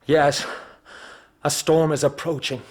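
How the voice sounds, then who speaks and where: An actor playing a tough, defiant outlaw with a bold, terse delivery in a radio drama. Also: male voice, a little high, flat delivery, slow pace.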